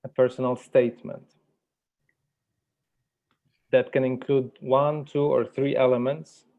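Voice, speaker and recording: A man facilitating a workshop, speaking in a measured, deliberate way, like someone guiding a group through an exercise. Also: mid-range voice, flat delivery, narrow, tinny audio. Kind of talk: guided meditation and workshop facilitation. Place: virtual workshop over video call.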